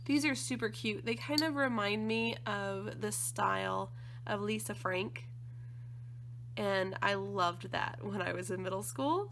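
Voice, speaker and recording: A woman with a slightly croaky voice from allergies, chatting casually and gushing with enthusiasm as she unboxes craft supplies. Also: medium pitch, a bit bright, slight background noise. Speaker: scrapbooking design team member.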